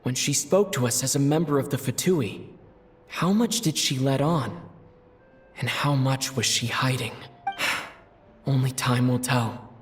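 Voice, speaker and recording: A man voicing a calm, thoughtful young male traveler in a game, musing to himself in a reflective inner monologue. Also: mid-range voice, a little slow, pauses often, soft background music, lightly acted. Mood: pensive. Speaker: player character. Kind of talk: game voice acting.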